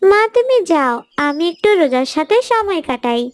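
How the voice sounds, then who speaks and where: A woman voicing a gentle, pious little schoolgirl in a cartoon, speaking earnestly and politely. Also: high voice, big pitch swings, moderate pace, no echo. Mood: friendly. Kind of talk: cartoon dialogue.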